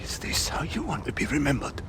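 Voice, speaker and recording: A man playing a stern, honor-bound older samurai lord in a game cutscene, formal and commanding, with a gravelly, often breathy voice. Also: a little high, huge pitch swings, fairly quick, heavily acted. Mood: outraged. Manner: formal, commanding, emotionally intense. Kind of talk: dramatic video game cutscene acting. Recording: clear background ambience noise.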